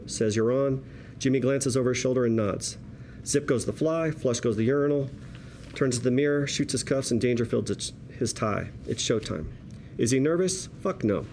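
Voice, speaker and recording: A man reading stage directions at a table read as narrator, in a wry, deliberate storytelling manner. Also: medium pitch, darkish tone, a little hiss or hum.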